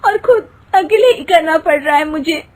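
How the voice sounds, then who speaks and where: A woman's voice: very high-pitched, moderate pace. A woman voicing a meek, anxious young daughter-in-law in a cartoon story, apologetic and whiny. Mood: tearful, panicked.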